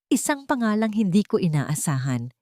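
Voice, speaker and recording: A woman's voice: medium pitch, very animated, moderate pace, darkish tone, no echo, clean studio sound. A woman narrating a scripted romance story in the first person, in a dramatic style.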